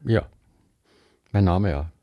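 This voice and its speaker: Guarded man, lowish voice. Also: takes it slowly, highs cut off. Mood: tense, curt. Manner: guarded, blunt. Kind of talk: radio drama acting.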